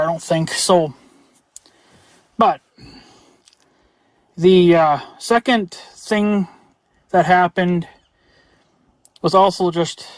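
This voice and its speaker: A man's voice: a little high, no echo. An American man talking casually in a rambling, blunt vlog style, with some mild swearing. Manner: rambling, blunt, mildly profane. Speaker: YouTube reviewer and vlogger.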